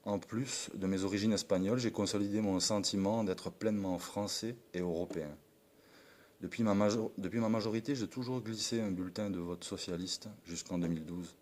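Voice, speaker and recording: Deep-voiced Frenchman giving earnest address. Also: steady tone, fairly quick, darkish tone. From France (Perpignan). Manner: formal, earnest, declamatory with small slips. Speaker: political supporter. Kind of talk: read political address.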